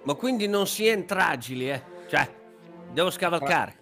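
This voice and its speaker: A man puts on a haughty count from Emilia for a costume radio comedy. The voice is forceful and bright, pitched a bit high, and it is pompous and snippy. Faint music sits behind it. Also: expressive, moderate pace, creaky, exaggerated voice. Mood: touchy, pompous.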